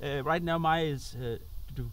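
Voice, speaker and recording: A Danish man with a high voice addresses his viewers informally. His English is accented and halting, as he searches for words while presenting outdoors. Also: a little hiss or hum. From Denmark. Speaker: host and musician. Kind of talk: informal talk to camera. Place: outdoors on an open roadside.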